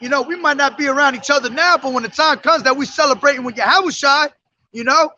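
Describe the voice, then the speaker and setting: A man street preaching who reads scripture slowly and drives his points home, calling his listeners "man", his voice hoarse from days of yelling. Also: extremely high pitch, muffled recording, a little hiss or hum. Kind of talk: street sermon with scripture reading. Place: outdoors on a street.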